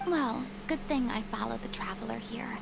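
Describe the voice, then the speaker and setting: A proud theatrical young ex-ruler, high bright voice, formal elaborate phrasing, game audio with noise behind. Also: female voice, normal speed, gravelly. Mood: earnest. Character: theatrical young woman, former divine ruler, proud yet softened. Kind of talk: game voice acting.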